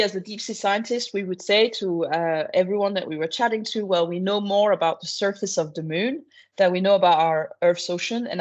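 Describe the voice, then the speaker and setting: A woman, a researcher giving an online talk to teachers in a relaxed, explanatory way with frequent fillers. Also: medium pitch. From France. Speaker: marine biology researcher. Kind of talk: online presentation. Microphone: video call. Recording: dull lo-fi video call audio.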